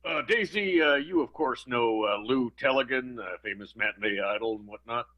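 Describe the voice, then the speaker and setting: A courteous showbiz negotiator played by a man speaks formally and haltingly in a mid-range voice. The improvised radio soap has a dull, lo-fi sound. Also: medium pitch, natural voice. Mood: courteous, negotiating.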